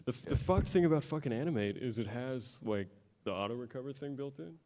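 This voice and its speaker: A man in a casual chat, relaxed and swearing casually. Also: mid-range voice, fast talker.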